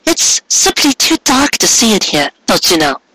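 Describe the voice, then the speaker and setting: Man putting on a high, coy young mare. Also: very animated, normal speed, pushed and bright, breathy edge, no room sound, exaggerated voice, low-quality recording. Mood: coy, teasing. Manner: airy, affected, teasing.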